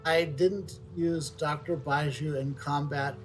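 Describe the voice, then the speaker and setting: A middle-aged man giving casual, unscripted video game commentary, halting and reflective, repeating words as he thinks. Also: a little high, fairly even intonation. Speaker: game streamer. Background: soft video game music.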